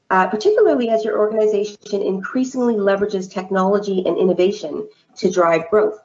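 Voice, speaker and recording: A woman's voice: mid-range voice, darkish tone, dull audio. A woman moderating a virtual panel discussion, introducing speakers and posing prepared questions in an orderly way. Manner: measured, scripted, orderly.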